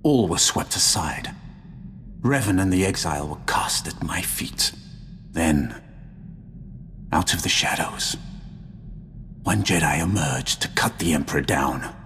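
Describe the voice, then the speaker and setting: An actor playing an ancient, proud Sith lord in a video game, speaking in a formal, solemn and disdainful manner. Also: male voice, deep voice, animated, a little slow, long pauses, fairly bright tone, a little hiss or hum. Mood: grave, foretelling. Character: ancient, grim, proud Sith lord, three centuries old. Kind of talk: dramatic video game voice acting.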